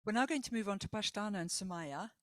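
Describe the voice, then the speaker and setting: Measured woman moderator, close dry sound. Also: mid-range voice, expressive, no room sound. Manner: measured, reflective, uses tag questions. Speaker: panel moderator. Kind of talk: moderating a panel discussion.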